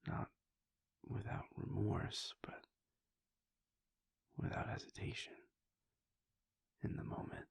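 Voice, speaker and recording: A man voicing a brooding, conflicted male vampire prince in a whispered audio roleplay monologue, hesitant and breathy. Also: takes it slowly, long pauses, natural voice. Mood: wistful. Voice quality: gravelly, breathy. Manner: soft whispering, hesitant restarts.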